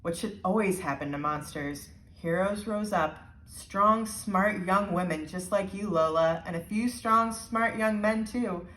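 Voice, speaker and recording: A woman voicing a grave old island handyman telling a storybook tale in a measured way. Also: mid-range voice, fairly bright tone, distant mic, close to an everyday voice. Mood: stirring. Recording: roomy, echoing indoor sound.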